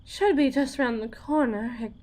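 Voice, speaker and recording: A woman playing a desperate, wounded, wry farm wife in an ASMR drama roleplay, with a folksy, sardonic, halting delivery. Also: sits high, a little mellow, lightly acted. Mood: weak, wry.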